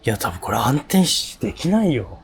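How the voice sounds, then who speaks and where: A man's voice: medium pitch, sing-song, fairly quick, vocal fry. A scared man vlogging a ghost hunt outdoors at night in casual, jumpy talk full of exclamations and muttering. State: frightened. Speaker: ghost-spot explorer and video host. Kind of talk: on-location paranormal vlog, casual talk. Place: outdoors on a suspension bridge at night. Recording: noisy outdoor location sound.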